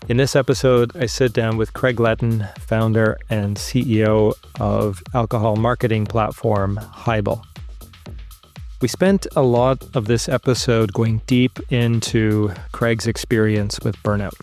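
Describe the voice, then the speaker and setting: A man's voice: fairly low pitch, fairly even intonation, a little slow, darkish tone, faint music behind. A man hosting an interview podcast, chatty and friendly, with self-deprecating jokes and no accent left from his Scottish childhood. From Scotland. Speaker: podcast host. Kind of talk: interview podcast, casual chat.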